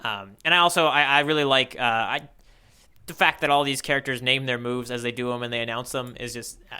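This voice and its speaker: A man with a very bright, sharp-edged voice at an average pitch. He talks casually as a podcast co-host, with playful banter and an informal, conversational delivery. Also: steady tone, a little fast, clean tone. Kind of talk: casual chat about manga panels.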